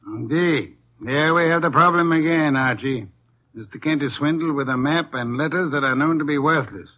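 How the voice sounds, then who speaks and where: An actor playing an imperious, cerebral master detective in a 1950s radio drama, speaking formally and precisely. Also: male voice, fairly high pitch, moderate pace, natural voice. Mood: pondering. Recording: muffled vintage 1950s radio recording.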